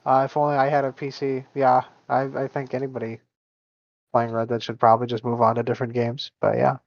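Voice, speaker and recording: A man's voice: medium pitch, completely flat delivery, fairly quick, creaky, no room sound. A man chatting casually as a guest, often giving short agreeing replies. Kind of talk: casual online chat. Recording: dull lo-fi mic.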